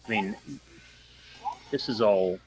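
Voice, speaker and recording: A man's voice: on the low side, slow pace, darkish tone, a little hiss or hum, rough recording. A man talking casually as a player in a tabletop role-playing game.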